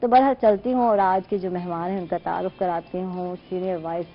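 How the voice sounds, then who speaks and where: A woman's voice: lowish voice, steady tone. A woman with a Pakistani Urdu accent hosting a live morning TV show, chatty and informal. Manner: chatty, informal, quick asides. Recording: dull lo-fi broadcast sound with faint noise.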